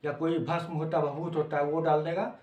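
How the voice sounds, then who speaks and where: A man's voice: fairly high pitch, flat delivery, fairly quick, darkish tone. A man with a Bihari accent giving an informal lecture as a coaching teacher, explaining in simple words, repeating phrases and checking that students follow. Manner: repeats phrases and checks understanding with short tags. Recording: echoey, reverberant room.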